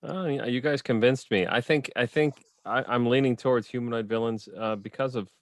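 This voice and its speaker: A man's voice: mid-range voice, brisk, dry recording, studio quality. A man speaking as a panelist in a casual video call chat, joking and offhand.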